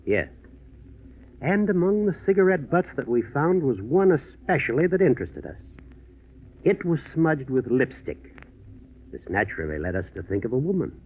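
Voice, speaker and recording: An American actor playing a methodical, shrewd district attorney in a 1940s radio drama, probing in a deceptively casual way, on a tinny old recording. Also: male voice, on the high side, very animated, normal speed, long pauses, a little hiss or hum. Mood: confident, explanatory.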